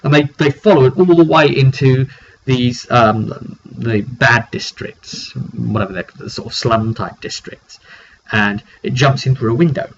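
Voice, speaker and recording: A man's voice: medium pitch, a little slow. A man informally recapping a tabletop roleplaying session as game master, thinking aloud and repeating words as he goes. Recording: dull recording with faint background noise.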